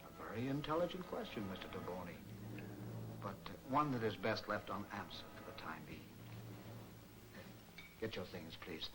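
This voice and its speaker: An actor as a deep, soft-spoken sinister professor. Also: male voice, lively intonation, background noise, close to an everyday voice. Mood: cool, guarded. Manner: measured, formal, polite. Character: soft-spoken, sinister professor, criminal mastermind with courteous speech. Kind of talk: 1940s movie serial acting. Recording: old 1940s film soundtrack with music.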